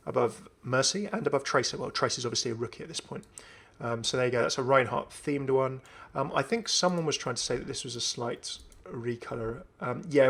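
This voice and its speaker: A man hosting a gaming video, giving casual, enthusiastic commentary in a creaky voice as he browses game items. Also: mid-range voice, a bit bright. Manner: chatty, enthusiastic. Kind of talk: casual gameplay showcase commentary.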